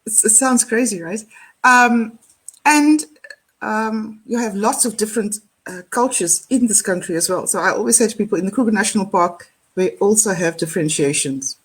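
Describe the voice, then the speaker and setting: A woman's voice: medium pitch, normal speed, breathy edge. A woman with a Cape Town South African accent, chatting animatedly on a video call and laughing often. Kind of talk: casual conversation.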